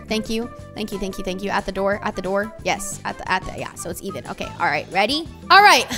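A woman's voice: sits high, bright, forceful tone. A young woman, hosting a multiplayer game in a bossy, playful way and repeating herself. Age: young adult. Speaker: game host.

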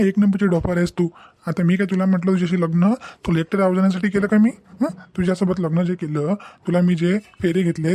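A man's voice: high-pitched, dark tone, dry recording, lightly acted. A man playing a protective young husband in a cartoon family drama, insistent and lecturing, with frequent questioning hums. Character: young husband, protective, reasoning and gently scolding. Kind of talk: amateur Marathi cartoon melodrama dialogue.

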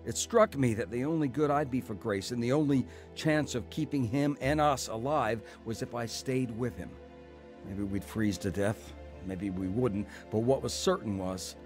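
An earnest, emotional man, played by an actor tells and lives his ordeal as a devoted brother in a radio drama. His mid-pitched voice halts with feeling, over faint music. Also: moderate pace, a little mellow, close to an everyday voice. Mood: reflective. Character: anguished, repentant brother narrating his blizzard ordeal. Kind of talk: radio drama acting and narration.

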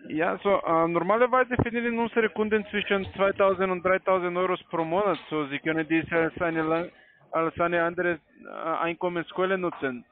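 High-voiced foreign man, halting, on the phone. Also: steady tone. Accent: foreign, non-native. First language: not German. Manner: halting, broken grammar, polite. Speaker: call center scam caller. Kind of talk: semi-scripted sales phone call. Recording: tinny narrowband phone line.

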